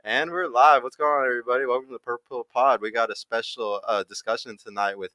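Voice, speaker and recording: Quick-talking hyped podcast host. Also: male voice, medium pitch, fairly even intonation, pushed and bright. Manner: hyped, plug-heavy delivery. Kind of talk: casual live podcast hosting. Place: studio in Dallas.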